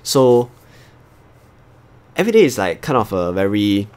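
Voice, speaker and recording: A man's voice: fairly low pitch, sing-song, unhurried, a little mellow, clear, resonant tone. A man from Singapore with a Singaporean accent, chatting casually to viewers as he hosts a live game stream. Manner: chatty, talks to viewers as he plays. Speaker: livestream host.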